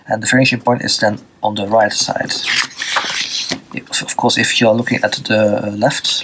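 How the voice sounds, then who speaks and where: A man from Belgium with a Dutch accent, teaching a drawing lesson off the cuff, pausing often with fillers and restarts while he draws. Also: medium pitch, sharp tone, a little hiss or hum, rough recording. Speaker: art teacher. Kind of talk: unscripted drawing tutorial.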